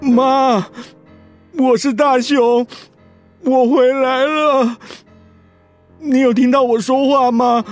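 A man's voice: extremely high pitch, fairly even intonation, slow pace, pauses often, soft background music. A man acting in a radio drama as a remorseful, conflicted grown son, earnest and pleading in manner. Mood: tearful pleading.

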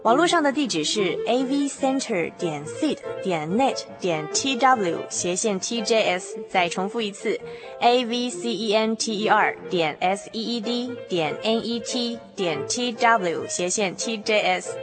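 A woman's voice: medium pitch, lively intonation, unhurried. A woman announcer reading a scripted promotional announcement. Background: background music.

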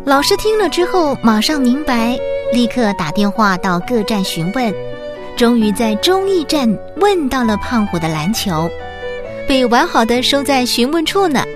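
A woman narrating a children's school radio play in Taiwanese Mandarin, expressive and addressed to young listeners. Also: fairly high pitch. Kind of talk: scripted children's story narration. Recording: music behind the voice.

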